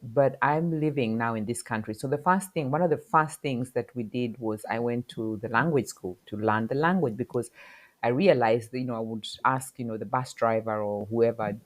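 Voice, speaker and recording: Very low-voiced woman, reflective. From Kenya. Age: middle-aged. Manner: reflective, halting, many fillers. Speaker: podcast guest. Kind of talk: casual interview talk.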